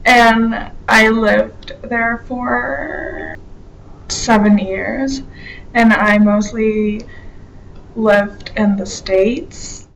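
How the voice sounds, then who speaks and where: A young woman from Korea answering documentary interview questions in slow, halting phrases. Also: fairly high pitch, sing-song, vocal fry, fairly bright tone, slight background noise, poor audio.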